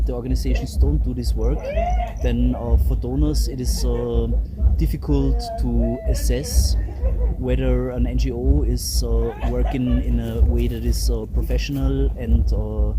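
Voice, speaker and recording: A man's voice: mid-range voice, very noisy. A man from Austria, an interview guest, answering in a hesitant way with many fillers. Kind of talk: casual interview.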